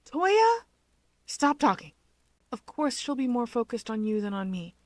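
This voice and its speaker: A woman's voice: fairly high pitch, big pitch swings, measured pace, no room sound, voice pushed up. A woman voicing an anxious, exasperated young female schoolteacher and big sister in a story reading. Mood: flustered, indignant. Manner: exasperated, defensive.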